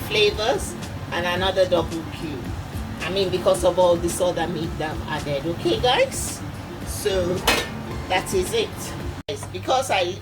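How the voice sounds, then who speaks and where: A woman's voice: lowish voice, low-quality recording. A Nigerian woman with a Nigerian accent talking viewers step by step through cooking a soup in her home kitchen, chatty and full of fillers. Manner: chatty, addresses viewers as guys, many fillers. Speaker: cooking channel host.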